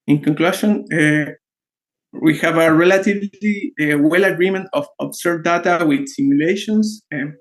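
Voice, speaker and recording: A man giving an academic presentation in halting, broken phrases. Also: fairly high pitch, low-quality recording. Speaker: conference presenter, researcher.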